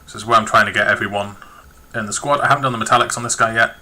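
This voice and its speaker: A man's voice: fairly low pitch, fairly even intonation, very fast, bright, forceful tone. A man live-streaming his miniature painting at a home desk, muttering to himself and chatting to viewers. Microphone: webcam perched on a lamp.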